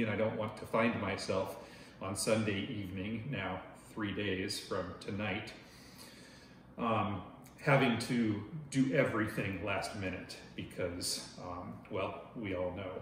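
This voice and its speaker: A man in his forties from Oregon hosts an insect channel, chatting to the camera in a loud voice with lots of filler words. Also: medium pitch. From Oregon, United States. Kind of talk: casual talk to camera. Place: dining room at home, indoors. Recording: very echoey room, faint noise.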